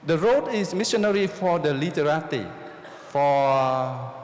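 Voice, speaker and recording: A Jesuit priest from Vietnam with a Vietnamese accent lectures a live audience, using simple, repeated phrases and often checking in with them. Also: male voice, on the high side, expressive, a little slow. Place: oratory hall with a live audience. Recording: roomy hall reverb, faint noise.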